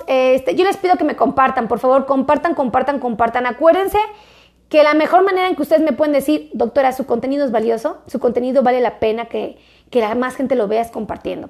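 Woman, doctor, high voice, chatty, repeats herself, casual talk to viewers. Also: fairly quick, creaky, darkish tone. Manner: chatty, repetitive, coaxing the audience. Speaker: doctor and health video creator. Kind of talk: casual direct-to-camera health talk.

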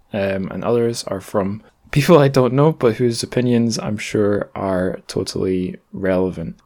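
A man's voice: on the low side, a little mellow. A man hosting a podcast in a casual, chatty way, with wry, self-deprecating asides. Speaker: podcast host.

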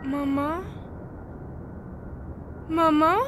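A woman playing a frightened, hushed little migrant girl in a radio drama. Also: high voice, flat delivery, very slow, over loud music. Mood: pleading.

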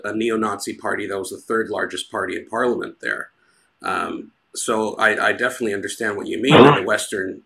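Host: man from Greece, lowish voice, hesitant questioner. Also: creaky, pushed and bright. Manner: hesitant, many fillers and restarts. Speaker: podcast host. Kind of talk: interview questions.

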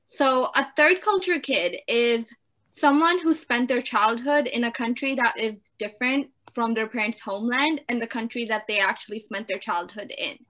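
Bright, enthusiastic woman podcast host. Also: fairly high pitch, moderate pace. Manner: enthusiastic, gushing about her guest. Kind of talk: casual podcast hosting.

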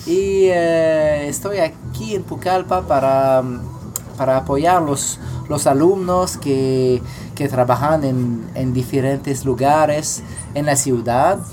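Halting man. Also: fairly high pitch. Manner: halting, repeats words. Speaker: guest, teacher. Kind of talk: casual chat in a learned language. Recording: music playing in the background.

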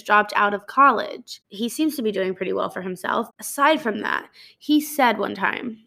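A woman's voice: a little high, fairly bright tone. A woman in her twenties hosting a solo podcast, chatty and upbeat, rambling with lots of fillers and laughing as she talks into a microphone. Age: 20s. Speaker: podcast host. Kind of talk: casual solo podcast talk. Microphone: studio microphone.

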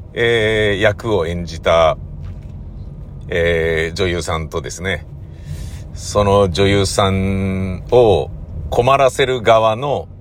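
A man hosting a casual solo podcast, talking in halting, drawn-out phrases that keep checking for agreement. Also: deep voice, a little hiss or hum. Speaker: host of a regular podcast, radio drama director.

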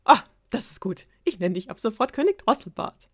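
A woman voicing an arrogant young princess who mocks her suitors in a shrill, snappy way. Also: animated, measured pace. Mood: mocking.

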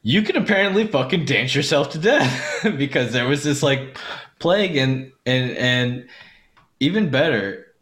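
A man's voice: mid-range voice, expressive, a bit bright. A man hosting a podcast, chatting casually and enthusiastically, swearing often and stumbling over repeated words as he gushes. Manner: profane, gushing, halting repetitions. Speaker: podcast host.

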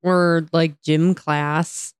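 Giggly young woman, slightly raspy. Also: low voice, little pitch movement, very slow, no room sound, studio quality. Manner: giggly, chatty, laughs often. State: slightly raspy from talking all day. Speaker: podcast co-host. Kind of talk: casual chat.

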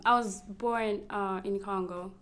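A young woman from Rwanda, a model, speaking softly in a casual interview, laughing often and using many fillers. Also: mid-range voice, fairly even intonation, measured pace. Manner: soft-spoken, laughs often, frequent fillers.

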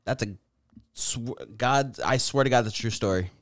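A young man co-hosting a podcast, chatting casually with crude, self-mocking, deadpan teasing. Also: mid-range voice, normal speed, no echo, studio quality. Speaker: podcast co-host. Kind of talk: casual banter.

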